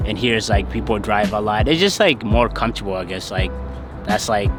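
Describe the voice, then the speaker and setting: A man's voice: fairly low pitch, expressive, moderate pace, music in the background. A young man from Ethiopia telling his own story in a loose, hesitant way, full of fillers. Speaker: interviewee. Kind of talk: casual personal storytelling.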